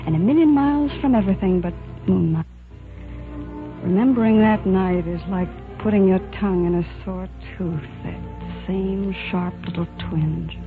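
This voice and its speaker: A woman's voice: mid-range voice, normal speed, music in the background, lightly acted. Actress as a spirited young woman, tinny 1940s radio. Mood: wistful, reminiscing. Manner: plainspoken, wistful. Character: spirited, wry working-class young woman in love.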